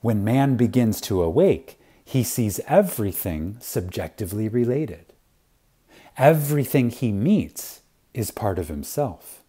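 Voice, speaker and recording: A man reading an inspirational spiritual lecture reverently and dramatically, with deliberate pauses, weighty emphasis and phrases that trail off softly. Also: on the low side, big pitch swings, breathy edge.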